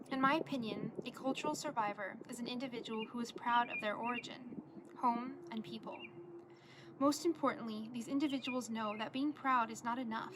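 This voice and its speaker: A young woman, a college student, reading her own essay aloud as a presentation in an earnest, measured way. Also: a little high, noisy background. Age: young adult.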